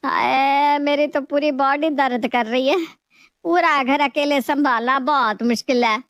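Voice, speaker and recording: A woman playing a scolding middle-aged mother-in-law in an animated moral story, reproachful and exaggerated. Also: high-pitched, measured pace, no echo, clean studio sound. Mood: tired, surprised.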